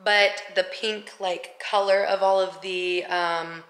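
A woman's voice: mid-range voice, fairly even intonation, slow pace, a bit bright. Chatty young woman vlogging at home. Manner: chatty, upbeat, lots of "like". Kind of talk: casual daily vlog chat. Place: large bright home interior. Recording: roomy echo, faint noise.

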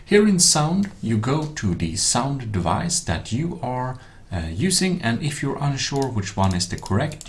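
A man narrating a tech tutorial as its host, in slightly awkward non-native English phrasing with some off-the-cuff asides. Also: fairly low pitch.

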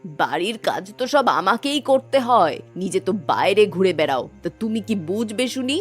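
A woman's voice: medium pitch, sing-song, moderate pace, lightly acted. A woman voicing a middle-aged, nagging yet hospitable village housewife in a cartoon story. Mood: aggrieved. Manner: complaining, homely. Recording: background music bed.

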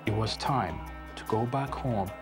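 Low-voiced man narrating over music. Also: unhurried.

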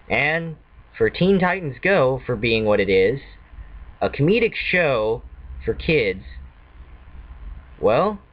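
A young man talks slowly into a webcam in a small bedroom, his pitch swinging a lot. He reviews TV shows in a loose, casual way, restarting sentences as he goes. The sound is a little dull, like a lo-fi webcam mic. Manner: unhurried, loose delivery with restarts. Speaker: video reviewer.

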